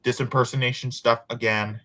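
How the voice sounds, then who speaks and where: A man's voice: mid-range voice, flat delivery, fairly quick, bright, forceful tone. A man reading a book aloud with casual asides, sometimes stumbling over words and repeating them. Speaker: host of a group read-aloud.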